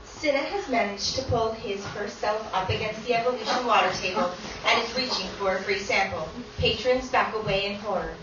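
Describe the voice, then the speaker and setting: A woman's voice: medium pitch, brisk, few pauses, natural voice. A woman acting as narrator, reading stage directions aloud in a wry, matter-of-fact way. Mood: wry, narrating. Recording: echoey theatre from far off, dull, noisy.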